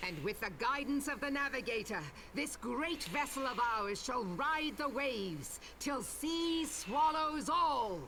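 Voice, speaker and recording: An actress playing a stern, commanding admiral in a video game, delivering grave nautical oratory in archaic English. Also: female voice, sits high, expressive, bright, forceful tone, a little hiss or hum, full character voice. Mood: rousing. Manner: grave, deliberate, declamatory. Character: stern commanding female admiral rallying her pirate crew.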